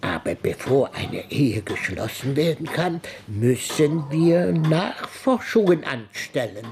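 Whiny old priest for a radio play, slow and hesitant, voice swings all over. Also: male voice, on the high side, very animated, vocal fry, crowd noise, exaggerated voice. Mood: evasive, whining. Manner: hesitant, fretful, whining. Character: timid, evasive, grumbling old village priest. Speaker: radio drama actor. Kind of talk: German radio play acting.

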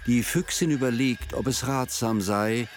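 A man narrates a literary radio drama in a measured, descriptive storytelling manner. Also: medium pitch. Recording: music behind the narration. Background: music.

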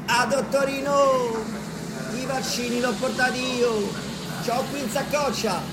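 Very high-voiced, boastful townsman. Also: fairly even intonation, unhurried, roomy sound, heavy background noise. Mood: gleeful boasting. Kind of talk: theatrical radio play acting.

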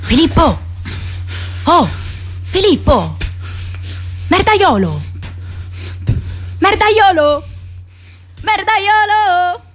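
An actress playing a spirited, defiant young woman in a radio drama, emotional and expressive, with a Sicilian accent. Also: very high voice, drawn-out words, long pauses, full character voice. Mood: calling, teasing. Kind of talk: theatrical radio drama acting.

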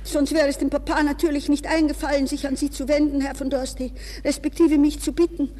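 A woman's voice: sits high, little pitch movement, a little fast, a little mellow, natural voice. An actress playing an anxious, proud young woman in a nervous, pleading manner, in the style of 1960s radio drama. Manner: breathless, nervous, shifting between polite speech and inner thoughts. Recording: reverberant, hissy 1960s radio recording.

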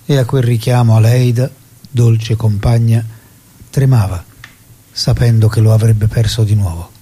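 Solemn, husky-voiced narrator. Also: male voice, mid-range voice, fairly even intonation, a little slow, warm tone. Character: solemn storyteller of a revolutionary's life. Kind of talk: dramatic scripted narration.

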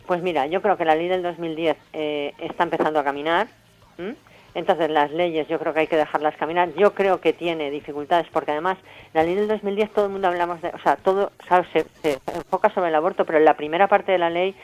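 Fast-talking Spanish woman, emphatic and colloquial. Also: on the low side, fairly even intonation, darkish tone, rough recording. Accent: Spain. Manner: emphatic, colloquial, full of checking tag questions. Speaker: interviewed guest, health professional and feminist activist. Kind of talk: informal radio interview, explanatory.

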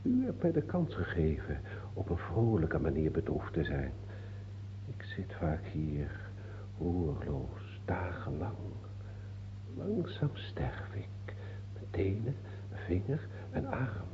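A man acting in a radio play as an old imprisoned bishop, mocking and melancholic, declamatory and given to breathy murmurs. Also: deep voice, wildly swooping pitch, slow, heavily acted. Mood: rapturous, morbid. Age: older. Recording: dull old radio recording, hiss and hum.

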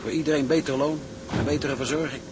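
An actor playing a gruff, loyal, irreverent tugboat seaman in a Dutch radio play, blunt and plain-spoken. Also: on the high side, quick pace, background noise, natural voice. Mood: admiring. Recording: loud engine-like ambience behind voice.

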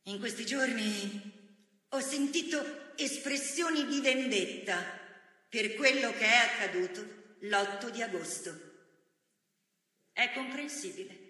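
A performer playing a resolute, forgiving woman democracy leader, giving a measured and earnest theatrical speech. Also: medium pitch, sharp tone. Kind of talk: theatrical political speech monologue. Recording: very echoey hall, long reverb.

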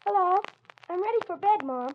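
Small boy, eager and devout, high piping voice, old radio play with some hiss. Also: expressive, brisk. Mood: eager, childlike. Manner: childlike, simple pleading speech. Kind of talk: 1940s-50s radio drama acting. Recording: muffled, noisy old radio recording.

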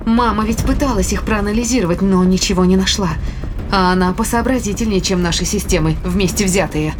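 Music runs behind an actress playing a woman aboard a spaceship. She is blunt and easily frightened, with a mid-pitched voice, in a sci-fi audio drama. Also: a little fast, close to an everyday voice. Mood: frightened, dismissive.

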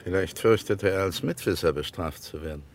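Low-voiced, stubborn private investigator. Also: male voice, brisk, slight background noise. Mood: firm, matter-of-fact. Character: probing, stubborn, self-assured male private investigator. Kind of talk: German radio crime drama acting.

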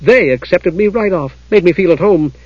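A young woman thief from a fantasy audiobook, played by a man, defiant and quick to heat up. The voice is earnest and defensive, with a husky sound. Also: sits high, a little mellow, no room sound, muffled recording, pitched above the performer's own voice.

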